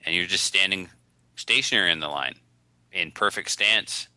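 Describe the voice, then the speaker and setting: Male co-host, conversational, low-pitched with a very forceful edge, close dry recording. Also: normal speed, dry recording. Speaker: podcast co-host. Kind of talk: casual chat.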